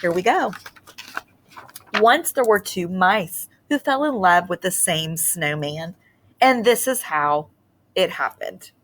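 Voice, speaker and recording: Expressive woman teacher reading aloud. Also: fairly low pitch, takes it slowly, slight background noise. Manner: expressive, sing-song storytelling. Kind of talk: storybook read-aloud for children.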